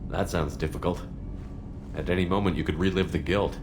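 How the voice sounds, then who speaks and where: An actor playing an earnest, principled human military commander in a video game, with a direct, questioning manner. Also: male voice, sits low, steady tone, brisk, noisy background, natural voice. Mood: challenging. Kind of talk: video game dialogue acting.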